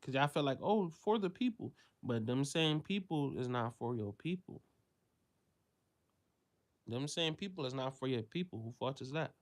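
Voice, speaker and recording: A man from the United States speaking in an unscripted commentary monologue. He rants with plenty of profanity, sinking into low, quiet stretches and then leaping up into loud, high-pitched bursts when he mocks others. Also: expressive, normal speed. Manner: ranting, profane, swings from low mutter to loud exclaiming.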